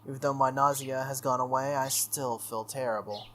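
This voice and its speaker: A man's voice: mid-range voice, fairly even intonation, moderate pace, fairly bright tone, slight background noise. A man reading visual novel narration aloud as the host of a playthrough. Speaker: gaming channel host. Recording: music under the voice. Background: game music.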